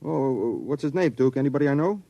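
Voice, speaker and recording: An actor playing a nervous, soft-hearted henchman who stammers and talks in anxious slang, in an old-time radio drama. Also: medium pitch, lively intonation, fairly quick, creaky, dry recording, lo-fi sound. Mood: uneasy, deferential.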